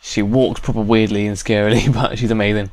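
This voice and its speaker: A man's voice: fairly low pitch, flat delivery, a little fast, a little mellow, no room sound. A man with a British accent giving casual commentary while playing a video game. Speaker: gaming video host. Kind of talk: casual let's play commentary.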